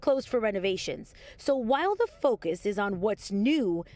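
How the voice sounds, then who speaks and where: A woman's voice: medium pitch, sing-song, normal speed, dark tone. An American woman delivering a scripted television news report as a reporter.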